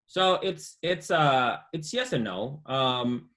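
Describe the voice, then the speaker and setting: A man's voice: mid-range voice, slow. Man in his forties, easygoing guest on a webcam call, speaks with many fillers. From Indonesia. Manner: relaxed, many fillers and "you know". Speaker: guest entrepreneur. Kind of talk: casual interview chat. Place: home room, indoors. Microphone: video call webcam.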